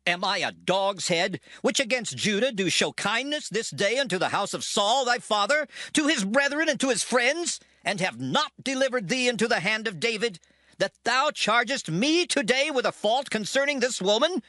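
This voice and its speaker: Casting: a proud, hot-blooded ancient commander, high voice, very animated, declaiming in biblical audio drama. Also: male voice, normal speed, no echo. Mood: indignant fury. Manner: declamatory, impassioned. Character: fierce, proud ancient Israelite army commander. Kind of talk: dramatized scripture acting.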